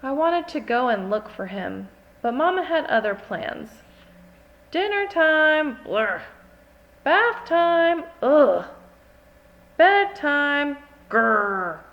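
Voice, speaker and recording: A woman voicing an earnest, dramatic little girl in a storybook, with expressive, childlike storytelling. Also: high-pitched, extremely slow, lo-fi sound, voice pushed up, full character voice. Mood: puzzled, worried, then grumpy.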